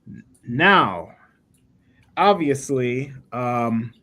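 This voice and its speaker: A man's voice: medium pitch, animated, very slow, sharp tone. A man hosting a casual livestream interview, talking informally with his guests. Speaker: podcast host and interviewer. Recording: noticeable background noise.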